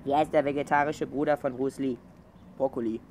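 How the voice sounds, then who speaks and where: Quick-talking young man telling jokes. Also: medium pitch, steady tone, a little mellow. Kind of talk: casual joke-telling chat. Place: outdoor garden by a pool.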